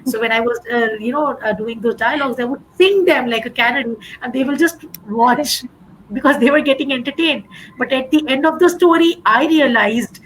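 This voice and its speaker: A woman teacher tells classroom stories in a lively, expressive way, her voice sitting a little high. Her is Gujarati, and she often pauses on "you know" and "uh". Faint noise hums under the recording. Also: poor audio. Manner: animated, expressive storytelling with many fillers. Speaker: English teacher. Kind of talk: conversational panel discussion.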